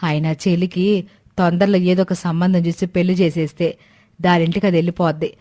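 A woman's voice: on the low side, little pitch movement, fairly quick, warm tone, close to an everyday voice. A woman voicing a stern, plain-spoken middle-aged mother-in-law in a family story, reproachful in manner. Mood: troubled. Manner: reproachful, scolding.

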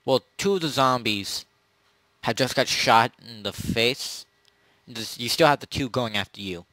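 A man improvising casual narration as game master of a role-play, often halting and correcting himself. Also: mid-range voice, pushed and bright, no echo, rough recording.

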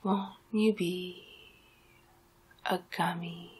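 A woman in her thirties playing a seductive, coaxing Halloween monster in a breathy whispered ASMR roleplay, drawing out her words close to the microphone. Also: lowish voice, steady tone, darkish tone, a little hiss or hum. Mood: enticing. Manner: slow, coaxing, drawn-out words.

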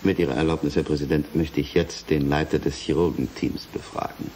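An actor playing a courteous but probing prosecutor, questioning formally and pointedly in a German radio drama. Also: male voice, a bass voice, flat delivery, a little fast, warm tone, slight background noise.

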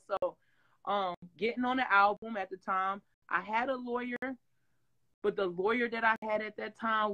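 A woman, telling her story with animated swings as a guest on a video call. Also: mid-range voice, dull audio. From Detroit. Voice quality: rough voice. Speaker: interview guest, former reality-show singer. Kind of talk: casual chat. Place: home room with green wall backdrop, video call.

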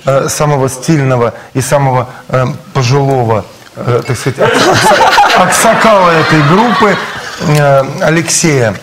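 A man hosting a cooking show, teasing his guests with a halting, filler-heavy delivery. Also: a little high, expressive, heavy background noise. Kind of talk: playful studio banter. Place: studio kitchen set.

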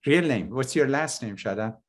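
Guy interviewing someone, curious n informal, kinda halting, video call. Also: mid-range voice, lively intonation. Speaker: interview host. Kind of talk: conversational interview. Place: small furnished room at home, video call.

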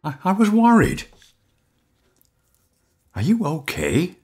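A woman playing an agitated, torn partner in an audio drama, with a husky voice and halting, urgent delivery. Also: on the low side, huge pitch swings, darkish tone, full character voice. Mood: worried, relieved. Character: agitated armed partner named Pete, desperate and torn.

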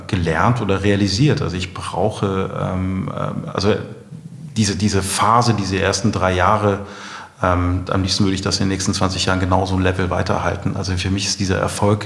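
A middle-aged man reflects in an interview, feeling for his words as he goes. His voice is deep and creaky, with halting phrasing. The room rings with echo. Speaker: guest, company co-founder. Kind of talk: reflective conversational interview answers. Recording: echoey, reverberant room.

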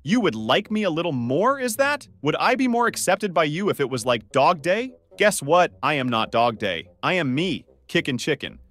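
A man's voice: on the high side, very animated, normal speed, hardly any pauses, a bit bright. A man voicing a defensive, lovesick young male chicken, emphatic and dramatic, in an amateur comic dub. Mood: hurt, defiant.

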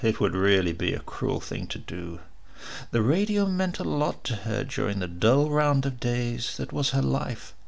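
A man narrating a horror short story dramatically, with deliberate, suspenseful, theatrical emphasis. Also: medium pitch, expressive, creaky. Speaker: audiobook narrator.

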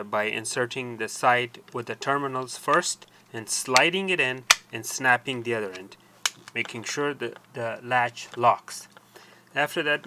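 An older man explaining a technical procedure step by step in a halting, deliberate way. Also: medium pitch, sharp tone, slight background noise. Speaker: tutorial presenter. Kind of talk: hands-on technical tutorial, explanatory. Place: small cluttered indoor workshop.

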